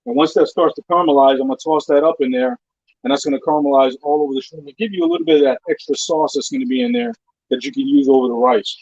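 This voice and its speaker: A man with a slightly high voice chats casually through his cooking, step by step. The sound is very dry and a little muffled, like lo-fi stream audio. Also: animated, racing, darkish tone, no room sound. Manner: informal, explaining each step as he cooks. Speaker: guest cook on a live cooking show. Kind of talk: casual cooking demonstration chat.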